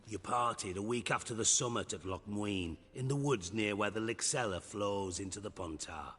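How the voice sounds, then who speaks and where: An actor playing a shrewd, sardonic crime boss in a video game, blunt and mockingly polite. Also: male voice, lowish voice, moderate pace, a bit bright, breathy edge, long reverb tail. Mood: smug, scheming.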